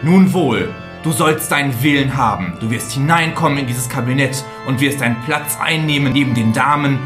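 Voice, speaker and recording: An actor playing a cruel, stone-hearted knight and husband in a fairy tale, commanding, threatening and deliberate. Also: male voice, medium pitch, music in the background, natural voice. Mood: menacing. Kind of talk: dramatised fairy tale acting.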